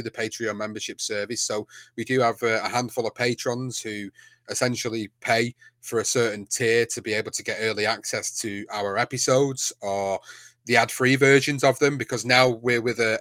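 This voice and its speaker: A man's voice: mid-range voice, fairly bright tone, no room sound. A man with a Manchester accent, a podcast co-host, talking casually in an interview with lots of fillers and restarts. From England. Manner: rambling, many fillers and restarts.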